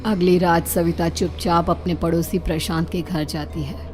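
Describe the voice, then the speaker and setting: A woman narrates a dramatic scripted story. Her voice is somewhat low for a woman. Soft music plays underneath. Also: steady tone, moderate pace.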